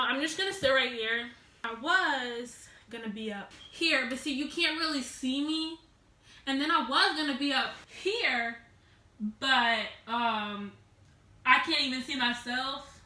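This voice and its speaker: A teenage girl vlogging at home, telling a rambling storytime with loud laughs and many restarts. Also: high-pitched, unhurried, echoey room. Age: teens. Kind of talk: casual storytime vlog. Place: at home, sitting on the floor.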